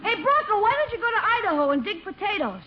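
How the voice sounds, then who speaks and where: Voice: extremely high pitch, big pitch swings, fast talker. A performer playing a bratty, sarcastic young nephew with an American accent in old-time radio comedy. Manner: sarcastic, sniping. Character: wisecracking, bratty boy nephew. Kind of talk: 1940s-50s American radio comedy acting. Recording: muffled, very noisy old radio broadcast.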